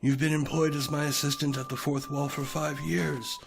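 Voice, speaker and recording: A man's voice: on the high side, level tone, moderate pace, vocal fry. A man playing a kindly, old-fashioned wizard mentor in an audio drama, speaking formally and theatrically. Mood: wry, genial. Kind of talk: storybook audio drama acting. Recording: music playing behind the voice.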